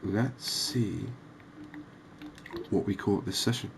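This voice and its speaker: A man giving relaxed, unhurried, musing ASMR-style gameplay commentary, chatting to his viewers. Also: fairly low pitch, gravelly, slight background noise. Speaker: host of a cozy fishing game channel.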